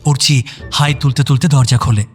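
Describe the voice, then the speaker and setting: A man's voice: medium pitch, steady tone, a little fast, faint music behind. A man narrating an audio story in a scripted, dramatic style.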